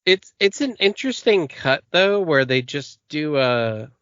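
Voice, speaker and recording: A man's voice: a little high, big pitch swings, a little slow, a bit bright, clear, resonant tone, dry recording. Enthusiastic joking man, dull mic. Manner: enthusiastic, joking, many fillers. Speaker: podcast co-host. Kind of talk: casual chat.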